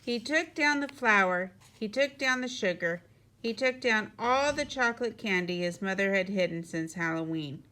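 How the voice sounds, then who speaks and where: A woman narrating a children's picture book expressively, with deliberate phrasing and emphatic sound words. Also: medium pitch, lively intonation, pushed and bright. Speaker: teacher reading a picture book.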